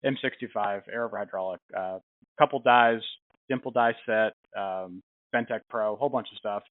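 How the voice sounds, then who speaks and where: A man's voice: fairly low pitch, moderate pace. A man in a casual business phone chat, speaking as a company representative in a friendly, informal, explanatory way with frequent chuckles. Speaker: company representative calling a prize winner. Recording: band-limited phone-like sound, roomy echo.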